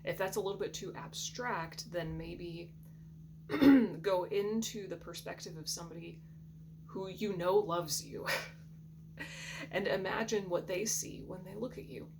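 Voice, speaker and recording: A woman giving a soft, reflective intuitive tarot reading in a groggy morning voice, often clearing her throat. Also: fairly low pitch, unhurried. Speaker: tarot reader. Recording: steady background hum.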